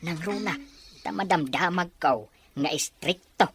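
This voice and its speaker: A woman playing a gentle, worried farmer father who speaks tenderly and cautions, in a melodramatic audio drama. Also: lowish voice, measured pace, natural voice. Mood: concerned, tender. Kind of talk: melodramatic radio-style drama acting.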